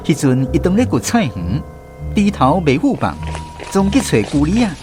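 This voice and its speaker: A man's voice: on the high side, sing-song, normal speed. A man narrating a village story in a radio drama, looking back on his childhood. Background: music.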